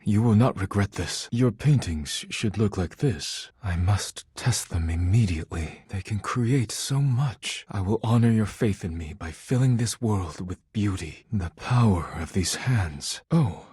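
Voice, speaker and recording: An actor playing a formal, proud and earnest man in a video game, speaking in measured, precise phrases. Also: on the low side, animated, a little mellow, breathy edge, dry recording, clean studio sound, heavily acted. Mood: awed, passionate. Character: formal, proud, earnest man devoted to his work and art. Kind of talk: video game character voice acting.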